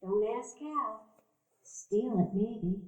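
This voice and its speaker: An actress playing a gentle, folksy elderly cousin who dotes on a boy, in a live radio play. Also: on the high side, extremely slow. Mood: folksy fond. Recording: roomy live stage recording.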